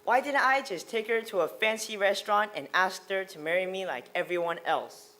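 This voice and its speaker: A woman's voice: medium pitch, normal speed, fairly bright tone. A female performer reading scripted story narration in a readers theater style.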